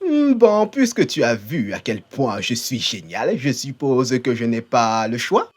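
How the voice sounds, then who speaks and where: A woman voicing a boastful but cowardly young male outlaw in an animated fan dub, talking in a cocky, rambling, easily flustered way. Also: rumbling low, measured pace, heavily acted. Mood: cocky, awkward.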